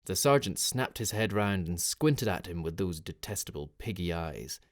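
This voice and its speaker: A male audiobook narrator with a lowish voice. He reads scripted fiction in a dramatic storytelling style. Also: lively intonation, normal speed.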